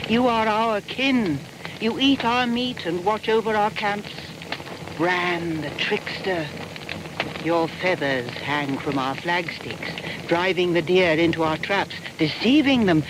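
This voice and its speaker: A woman's voice: mid-range voice, noisy background. An actress playing a solemn female shaman, chanting spells with intense, commanding deliberation in a radio drama. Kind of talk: incantatory radio drama verse. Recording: prominent music underneath.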